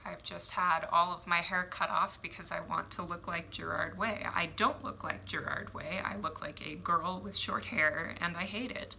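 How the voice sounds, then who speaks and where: Gravelly, bright-toned woman reading spoken word. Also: fairly low pitch, steady tone, slight background noise, low-quality recording. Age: 20s. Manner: measured, reflective, deliberate pauses. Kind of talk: spoken word poetry performance. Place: small home room indoors. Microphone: headphones and webcam.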